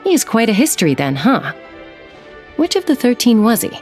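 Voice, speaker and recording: An actress voicing a blunt, skeptical young woman in a video game, casual, dry and quick to tease. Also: a little high, big pitch swings, darkish tone, soft background music. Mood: amused, curious.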